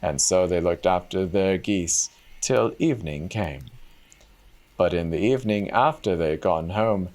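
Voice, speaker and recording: A man's voice: low voice, unhurried, darkish tone. A man reading a fairy tale aloud as a storyteller in a dramatic style.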